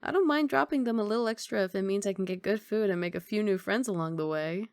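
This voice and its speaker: A woman's voice: mid-range voice, fast talker, a little mellow, clear, resonant tone, dry recording, clean studio sound, lightly acted. A woman playing a confident, doting young mafia boss girlfriend in an intimate, teasing ASMR romantic roleplay. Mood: generous, confident. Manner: playful teasing, intimate one-sided conversation.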